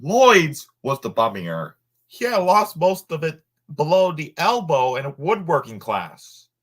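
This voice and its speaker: A man reading a nonfiction book aloud informally, sometimes halting over words and adding asides. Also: a little high, big pitch swings, a bit bright.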